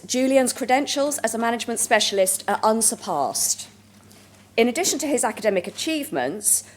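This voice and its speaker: A woman hosting a conference, formally reading a speaker's introduction in a measured way. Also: medium pitch. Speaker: event host introducing a speaker.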